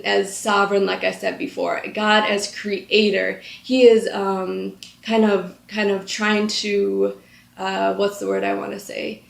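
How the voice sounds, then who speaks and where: A woman in her thirties teaches scripture from a couch indoors, her voice a mid-pitched one with a gravelly fry running through it. She talks earnestly and casually, pausing on fillers, and the room rings around her. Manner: earnest, conversational, with frequent "um" fillers. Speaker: Bible study host. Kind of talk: scripture reading and devotional teaching. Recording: echoey room.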